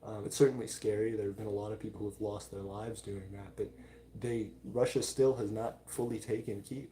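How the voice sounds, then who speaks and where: A man hosting a livestream in a casual, informal chat style, talking haltingly as he reads out and answers viewer comments. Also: fairly low pitch, fairly even intonation, dark tone, slight background noise, low-quality recording. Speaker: livestream host.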